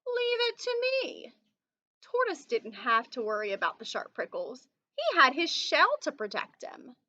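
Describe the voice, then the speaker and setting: A woman's voice: a little high, wildly swooping pitch, moderate pace, bright, forceful tone, highs cut off. A woman reading a children's story aloud in a teacherly way, stopping to put questions to her young listeners. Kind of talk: expressive children's read-aloud with asides and questions.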